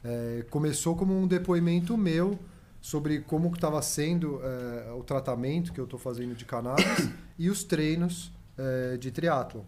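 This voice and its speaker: A man's voice: on the high side, a little slow, darkish tone. A man, guest athlete on a podcast, explaining thoughtfully with frequent hesitations. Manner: thoughtful, filler-heavy explaining. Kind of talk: conversational explanation.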